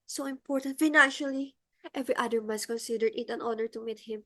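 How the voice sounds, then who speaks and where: Woman acting a high-pitched, imperious, frantic society hostess. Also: steady tone, quick pace, pushed and bright. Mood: indignant. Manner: theatrical, exclamatory, indignant. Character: imperious middle-aged society hostess, frantic, self-important, easily enraged. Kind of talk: comic stage-play acting.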